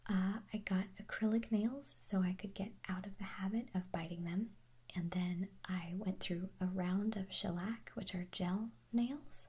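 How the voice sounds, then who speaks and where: Softly whispering young woman, mellow and husky. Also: medium pitch, fairly even intonation, unhurried. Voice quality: soft unvoiced whisper. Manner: chatty, rambling, self-correcting, giggly. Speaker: ASMR creator answering viewer questions. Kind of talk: whispered ASMR Q&A.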